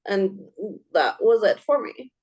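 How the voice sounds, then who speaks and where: A woman co-hosting a podcast, speaking in an emphatic, heartfelt way with quick asides and a frequent "right?". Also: mid-range voice, lively intonation, darkish tone, rough recording. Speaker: podcast co-host. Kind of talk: casual conversation.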